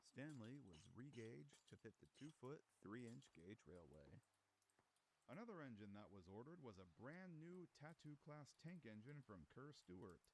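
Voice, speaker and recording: Guy doing scripted history narration, pitch moves around a lot, some noise behind him. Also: big pitch swings, unhurried, darkish tone, rough recording. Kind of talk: scripted documentary-style narration of a fictional railway history.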